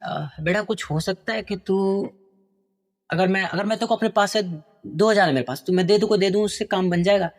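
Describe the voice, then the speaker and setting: A man voicing a meek, hurt mother who speaks softly and hesitantly. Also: high-pitched, brisk, long reverb tail. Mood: timid. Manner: hesitant, soft-spoken, faltering.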